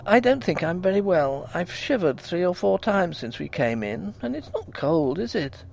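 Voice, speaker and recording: A man voicing a gentle young wife, soft and hesitant. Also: high voice, very animated, normal speed, vocal fry, pitched above the performer's own voice, full character voice. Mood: uneasy.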